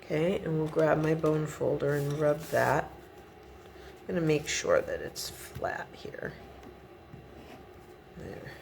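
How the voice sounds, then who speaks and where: Slow, gravelly-voiced woman teaching crafts. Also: low-pitched, darkish tone, slight background noise. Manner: chatty step-by-step instructions. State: sniffly from a cold. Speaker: card-making tutor. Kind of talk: relaxed craft tutorial. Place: home craft desk indoors. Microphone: overhead camera above the desk.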